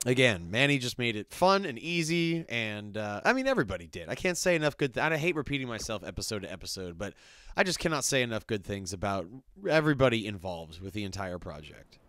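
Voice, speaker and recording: A man's voice: lowish voice, sing-song, fast, fairly bright tone, dry recording, studio quality. A man giving casual, jokey and chatty reaction commentary. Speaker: voice actor reacting to his game's cut scenes.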